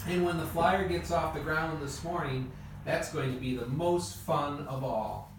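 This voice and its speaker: A man's voice: fairly high pitch, measured pace. A man playing a confident, wry inventor in an amateur radio play. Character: Wilbur Wright, confident, wry adult male inventor. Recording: echoey room, distant mic, noisy background.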